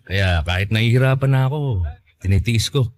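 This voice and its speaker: A man playing a kind, gently joking young businessman in a Filipino radio drama. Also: fairly low pitch, normal speed, no echo, natural voice. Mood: friendly, joking.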